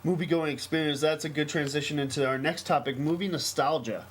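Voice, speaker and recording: A man's voice: fairly high pitch, fairly even intonation, fairly quick. An American man co-hosting a casual podcast, talking in a rambling, emphatic, enthusiastic way. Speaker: podcast co-host. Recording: noisy amateur podcast recording.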